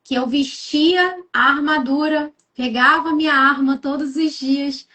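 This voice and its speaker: A woman's voice: high voice, steady tone, a little slow, bright, forceful tone. A woman from Rio de Janeiro with a Carioca accent, a guest who tells her own story in an earnest, reflective way. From Rio de Janeiro, Brazil. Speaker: guest speaker. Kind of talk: personal storytelling in conversation.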